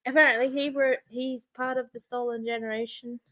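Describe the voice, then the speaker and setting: A woman's voice: a little high, flat delivery, a little fast, a bit bright. A woman. She tells anecdotes in an informal, chatty style as a podcast co-host. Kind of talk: casual anecdotal chat.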